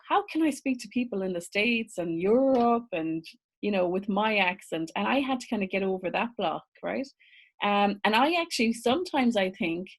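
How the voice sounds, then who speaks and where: Irish woman, business coach on a live class; chatty, quick to laugh, reads viewer comments aloud. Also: mid-range voice. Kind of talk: live online masterclass.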